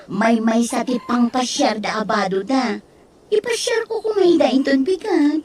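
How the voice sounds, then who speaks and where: A high-pitched, sharp-tongued woman of a radio drama. She is bossy and scornful, with a scolding, dismissive way of talking to her household. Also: measured pace, slight background noise. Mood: casual. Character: bossy, scornful gambling daughter who berates her elderly in-laws. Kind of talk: radio-style Ilocano drama acting.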